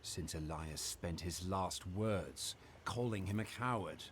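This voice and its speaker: Plain-spoken male wanderer for a game cutscene; serious, slightly deep, noise behind. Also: takes it slowly. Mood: sober. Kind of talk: dramatic video game cutscene acting.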